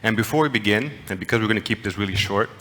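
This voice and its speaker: A man gives a lively lecture from a stage, playful and engaging as he quizzes the crowd. His voice is bright and forceful, set fairly low. The sound rings with the long reverb of a large hall. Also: rapid-fire. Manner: playful, engaging, asks the audience questions. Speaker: conference lecturer. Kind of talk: interactive lecture to an audience.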